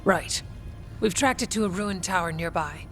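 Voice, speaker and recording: An actress playing a frank, weary warrior officer in a video game, speaking in a plain, businesslike way. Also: female voice, lowish voice, normal speed, fairly bright tone. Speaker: Warden officer giving battle briefing. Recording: music behind the voice.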